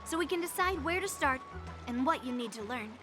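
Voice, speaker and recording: A young girl sword master for an anime game. She speaks in a high voice with brash, boastful confidence and a commanding manner, over background music. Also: animated, brisk. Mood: instructive.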